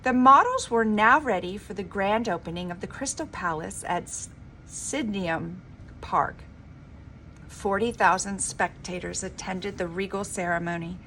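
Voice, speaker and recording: A woman reading a children's storybook aloud like a teacher, with an expressive, emphatic delivery. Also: mid-range voice, a little slow. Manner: dramatic, emphatic delivery with informal asides. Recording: clearly noisy homemade recording.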